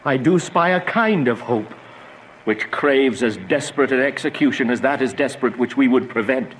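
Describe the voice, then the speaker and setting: An actor playing a grave, troubled, compassionate friar in a Shakespearean radio drama, giving solemn counsel. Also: male voice, medium pitch, normal speed, a bit bright, slight background noise, lightly acted, rough recording. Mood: grave, urgent.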